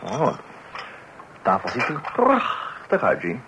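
A man's voice: medium pitch, huge pitch swings, slow, exaggerated voice. An actor playing a family man, a husband and father, in a radio play. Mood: affable, fond. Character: husband and father, mild but easily exasperated. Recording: dull, noisy old radio recording.

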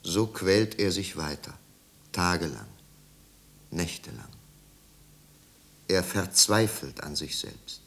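An actor as the solemn narrator of a 1950s German radio drama, telling a tragic literary tale in measured, dramatic phrases. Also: male voice, sits low, animated, creaky, fairly bright tone, exaggerated voice. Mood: grave, measured.

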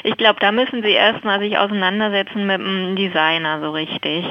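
A woman's voice: mid-range voice, flat delivery, a little fast. A playful, good-humoured woman taking a business call on the telephone. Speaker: company employee answering phone. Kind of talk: unscripted business phone call. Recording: dull telephone line.

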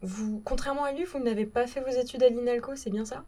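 Female radio interviewer, soft dark tone, courteous and formal delivery. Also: a little high, steady tone, fast talker. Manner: polite, formal. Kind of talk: prepared interview questions. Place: radio recording studio.

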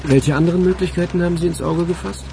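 An actor in a radio play playing a brisk, authoritative police detective with a matter-of-fact manner. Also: male voice, sits high, fairly even intonation, creaky, background noise. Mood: businesslike. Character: brisk, official visiting male police detective.

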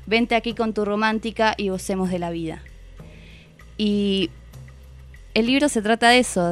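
An Argentine woman speaks as a guest discussing a book, with music playing behind her. Her talk is unscripted and reflective, and she slips into quoted thoughts in a medium-pitched voice. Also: smooth.

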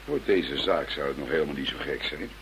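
An actor playing a dry, deliberate investigator in a radio play. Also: male voice, a bass voice, lively intonation, fairly bright tone, exaggerated voice. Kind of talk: scripted radio play acting. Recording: faint hum and noise.

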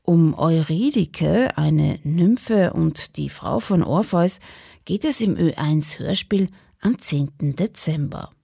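A woman announces radio programmes from a script. Her voice is mellow and gravelly, recorded very dry and close, with an unhurried delivery. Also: low voice, lively intonation, dry recording. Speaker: radio presenter.

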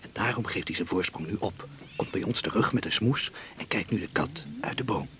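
An actor playing a sharp amateur sleuth in a radio thriller, brisk and conspiratorial. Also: male voice, deep voice, background noise, natural voice. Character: sharp, urgent male amateur sleuth in the jungle. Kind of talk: radio drama acting.

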